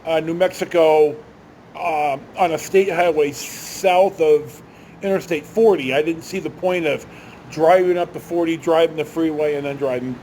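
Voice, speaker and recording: Older male storyteller, slightly high pitch, casual, meandering and folksy delivery, faint vehicle rumble in the background. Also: normal speed, darkish tone. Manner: folksy, hesitant, rambling, mildly profane. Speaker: storytelling vlogger. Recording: noisy, with vehicle rumble behind.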